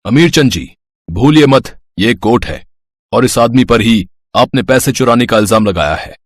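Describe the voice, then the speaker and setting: A man's voice: mid-range voice, expressive, normal speed, no echo, natural voice. A man voicing a stern male judge in a cartoon story, speaking in a reprimanding tone.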